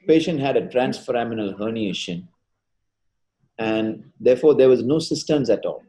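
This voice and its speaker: A neurosurgeon speaking over a video call gives an animated lecture and keeps throwing rhetorical questions at his audience. His tone is mellow and warm, and he talks at an average pitch. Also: poor audio. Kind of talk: webinar lecture.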